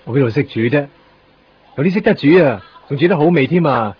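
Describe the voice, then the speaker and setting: An actor playing an insecure, excitable, wistful young man in a radio drama, speaking with a Hong Kong Cantonese accent. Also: medium pitch, quick pace, slightly rough. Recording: dull 1990s radio recording, faint music.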